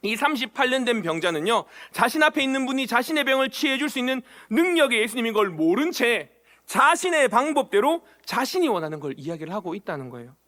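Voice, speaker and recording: Man, pastor, high voice, sermon in a church, questions to the congregation. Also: very animated, quick pace, bright, forceful tone. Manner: asks the congregation questions, acts out short quoted lines. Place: church sanctuary.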